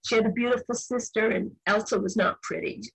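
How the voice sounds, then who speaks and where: A husky, breathy woman in her sixties tells stories as a podcast guest. She talks with animated emphasis and throws in quick asides. The sound is dry and close, with no room around her. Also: a little high, no room sound, low-quality recording. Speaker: novelist guest. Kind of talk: podcast interview, relaxed storytelling.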